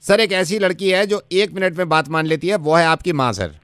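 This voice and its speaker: A man's voice: sits high, normal speed, a bit bright, clear, resonant tone, dry recording, clean studio sound. A man playing a polite, insistent service agent on a radio prank call, deferential and persistent. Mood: cheerfully insistent. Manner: deferential, repeats "sir", persistent. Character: polite, insistent male service caller, earnest and officious.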